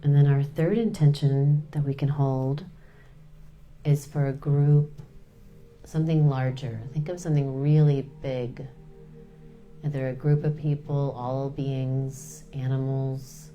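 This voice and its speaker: A woman leading a guided meditation in a soothing, unhurried way, pausing between short phrases. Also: a bass voice, warm tone, lo-fi sound.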